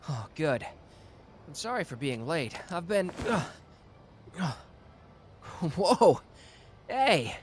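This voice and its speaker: A man playing a warm protective young lover. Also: a little high, slow, long pauses, slight background noise. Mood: warm relieved. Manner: warm, lively, laughs easily. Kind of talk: ASMR roleplay.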